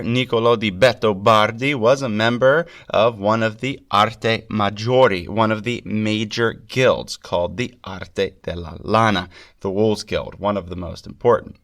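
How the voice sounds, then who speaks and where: Congested, slightly gravelly male podcast host. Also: lowish voice, fairly bright tone. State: congested with a cold. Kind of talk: scripted lecture on art history.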